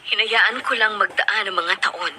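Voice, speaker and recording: A woman's voice: medium pitch, measured pace, pushed and bright. A woman in a radio drama playing a remorseful mother searching for her lost son. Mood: earnest. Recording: noisy radio broadcast.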